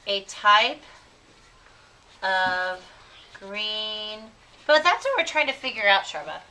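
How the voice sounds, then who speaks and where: Bright, slow-speaking woman teaching a class. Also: medium pitch, expressive. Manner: slow, deliberate repetition with encouraging endearments. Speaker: elementary school teacher. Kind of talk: classroom instruction, questioning pupils and repeating their answers. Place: classroom with children. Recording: distant mic, clearly audible room noise.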